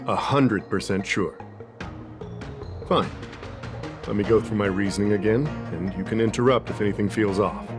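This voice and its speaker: A man's voice: on the low side, close to an everyday voice. Man voicing a methodical official sleuth. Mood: reasoning. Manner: deliberate, reasoning step by step. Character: methodical, earnest male official detective, adult. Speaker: investigating official in a video game. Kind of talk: game cutscene voice acting. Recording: game audio with soft music behind.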